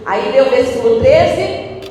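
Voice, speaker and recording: A female pastor preaching a sermon in a church, emphatic, with sudden swings in pitch and tempo. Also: lively intonation, unhurried, a crowd in the background. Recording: echoey church hall, recorded from a distance.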